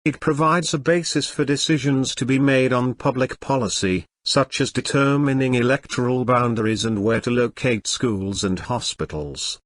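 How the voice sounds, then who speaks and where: Male reader, mid-pitched, formal scripted read-aloud, very dry sound. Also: moderate pace, few pauses, no echo. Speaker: exam practice reader.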